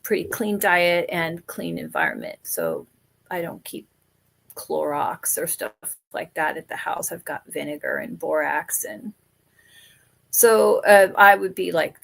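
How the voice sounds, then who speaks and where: A middle-aged woman with a lowish, gravelly voice talks in an earnest, candid way. She leans into her points and often laughs lightly between thoughts. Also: fairly bright tone. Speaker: podcast guest. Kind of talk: conversational interview.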